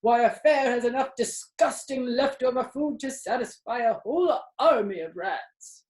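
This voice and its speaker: A woman's voice: on the high side, normal speed, a little mellow, roomy sound, pitched above the performer's own voice, close to an everyday voice. A woman voicing a chatty, worldly old female sheep in a storybook reading. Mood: enticing.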